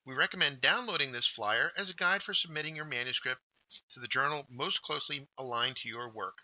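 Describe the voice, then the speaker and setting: A man presenting a scripted instructional workshop, with deliberate, emphatic phrasing. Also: mid-range voice, pushed and bright, dry recording, poor audio. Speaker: workshop presenter, journal editor.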